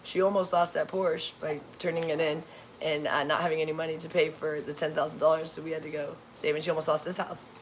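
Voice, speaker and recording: Fast, halting voice full of fillers. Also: mid-range voice, level tone, a little hiss or hum, low-quality recording. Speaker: livestream co-host. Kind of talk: casual livestream chat.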